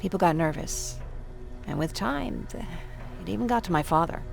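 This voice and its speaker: A woman's voice: on the low side, lively intonation, normal speed, gravelly, over music. A woman playing a troubled young survivor, reflective and confiding, in a video game. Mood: wistful, confiding. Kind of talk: intimate video game dialogue.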